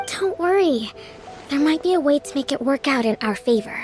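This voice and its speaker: A woman voicing a calm, gentle, shrewd young leader in a video game, measured and reassuring. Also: high voice, over music. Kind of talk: video game character voice acting.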